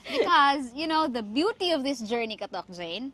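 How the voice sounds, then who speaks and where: A woman from the Philippines with a Filipino accent and a Cebuano mother tongue, hosting reflective, motivational talk with emphatic, deliberate phrasing. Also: high voice, big pitch swings, a bit bright. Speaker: podcast host.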